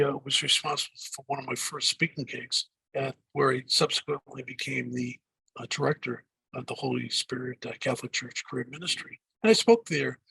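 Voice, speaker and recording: Older gravelly Boston man. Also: on the high side, a bit bright, no room sound, poor audio. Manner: rambling, with frequent uh fillers and restarts. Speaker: guest. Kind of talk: casual interview answers.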